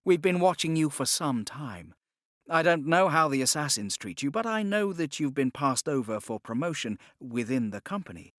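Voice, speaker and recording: Man playing a smug, higher-voiced gentleman secret agent. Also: big pitch swings, no echo, clean studio sound. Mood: persuasive. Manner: polished, formal, unhurried. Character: smug, unruffled gentleman Templar agent, smooth persuader.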